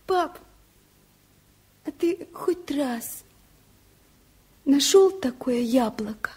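An actress playing an excited, trusting little girl with a childlike, wondering manner in a 1970s radio drama. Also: high voice, extremely slow, full character voice. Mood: chatty, curious.

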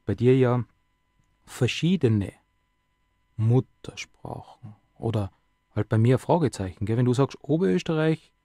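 A man's voice: medium pitch, warm tone, slightly rough, dry recording, studio quality. A man hosting a live radio interview, talking conversationally and asking many questions. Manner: conversational, asks many questions, tag words. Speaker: radio host.

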